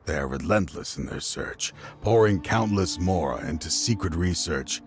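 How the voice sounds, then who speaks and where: Low, brooding, weary older fighter. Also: male voice, expressive, slight background noise. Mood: sorrowful, reflective. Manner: theatrical, deliberate, brooding. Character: grieving middle-aged father, proud, weary former partner turned adversary. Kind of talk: dramatic video game voice acting. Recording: light music behind the voice.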